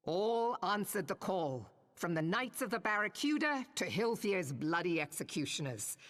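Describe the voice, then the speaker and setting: An actress voicing a proud, solemn seafaring woman admiral in a video game, giving a measured, declamatory ceremonial speech. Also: lowish voice. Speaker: military leader addressing followers. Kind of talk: rousing ceremonial oration, video game voice acting. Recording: long hall reverb.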